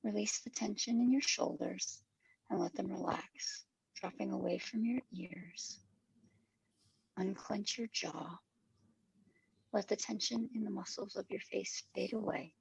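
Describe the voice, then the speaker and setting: A woman guides a meditation in an extremely husky, breathy voice full of vocal fry. Her instructions come very slowly, soothing and measured. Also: mid-range voice, dry recording, low-quality recording.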